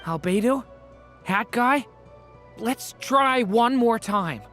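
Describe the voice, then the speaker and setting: A slow-spoken, gentle young hero. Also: medium pitch, animated, faint music behind. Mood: sorrowful. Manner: hesitant, sincere, soft-hearted. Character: gentle, earnest young hero, anxious to help. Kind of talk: dramatic video game voice acting.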